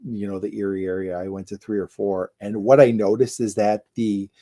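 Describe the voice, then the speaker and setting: A man's voice: lowish voice, flat delivery, brisk, warm tone. A man co-hosting a casual livestream chat. He talks in a chatty, jokey way, often trailing off and restarting. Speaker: co-host.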